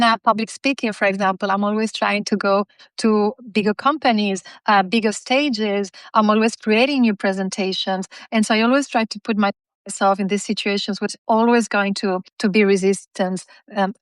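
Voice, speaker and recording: A woman's voice: medium pitch, clean tone, no room sound. A woman whose first language is Spanish, answering interview questions in an earnest, reflective way with some fillers. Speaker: podcast guest, business writer.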